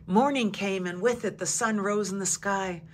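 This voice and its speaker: A woman narrating a picture book aloud in a calm, even storytelling manner, dropping to a hush at quiet moments. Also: mid-range voice, normal speed, a little hiss or hum. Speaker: storybook reader.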